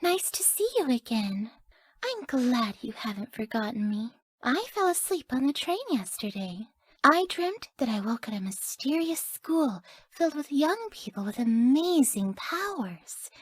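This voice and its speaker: A woman voicing a sweet, sleepy, scatterbrained young woman in a video game, with a soft, airy, naive delivery. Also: sits high, sing-song, a little slow, no room sound, full character voice. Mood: happy, affectionate. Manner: soft, airy, gentle, often dropping to breathy near-whispers. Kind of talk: video game character voice lines.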